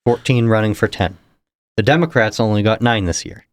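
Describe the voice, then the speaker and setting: A young man from New Hampshire, a political candidate, explaining things in a measured, casual interview manner. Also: on the low side, dry recording. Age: young adult. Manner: measured, explanatory, chuckles between points.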